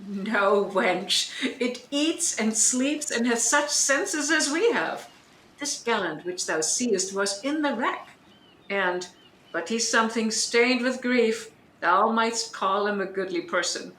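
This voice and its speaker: Woman for stern Shakespearean sorceress, a mother in control; sharp tone, fairly high, deliberate, roomy sound. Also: lively intonation, a little hiss or hum. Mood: stern, knowing. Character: commanding, scheming sorceress mother of a young maiden. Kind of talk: Shakespearean audio drama. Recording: echoey room, remote recording.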